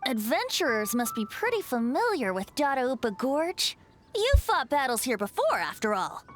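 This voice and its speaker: A woman's voice: high-pitched, sing-song, normal speed, soft background music. A woman playing a youthful boyish bard, playful, teasing and sly, in a video game. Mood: playful, probing.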